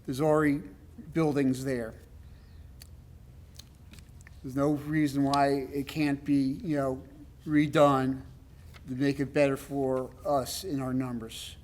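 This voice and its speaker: A man with a loud voice giving a rambling, emphatic public comment at a town meeting, with many fillers. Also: medium pitch, level tone, takes it slowly, pauses often. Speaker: resident speaking at public comment.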